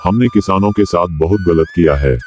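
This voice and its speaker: An actor voicing a greedy, scheming village landlord in a cartoon who is bossy and talks down to people. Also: male voice, sits low, expressive, brisk, a little mellow, highs cut off, faint music behind. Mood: remorseful. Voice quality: bossy, scornful, talks down to the farmer.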